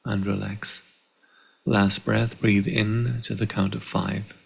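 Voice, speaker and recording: Guy with a low raspy creaky voice doing a guided meditation, calm, lots of pauses. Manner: calm, measured, with deliberate pauses. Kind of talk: guided meditation, slow and instructional. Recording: live online session.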